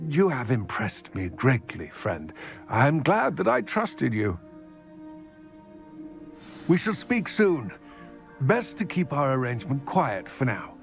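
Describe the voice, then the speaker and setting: An actor voicing an aging, proud goblin in a video game, speaking in a formal, measured, eloquent way. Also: male voice, mid-range voice, wildly swooping pitch, vocal fry, soft background music, exaggerated voice. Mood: pleased, grateful. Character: aging male goblin, solemn, proud, formal former fighter. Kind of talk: video game cutscene acting.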